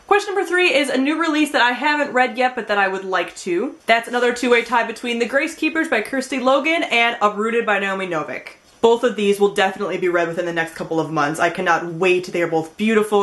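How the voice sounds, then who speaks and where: A woman's voice: mid-range voice, fairly bright tone. A woman in her twenties talking casually and expressively to camera as a book vlogger, with emphatic enthusiasm. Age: 20s. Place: small indoor room with bookshelves.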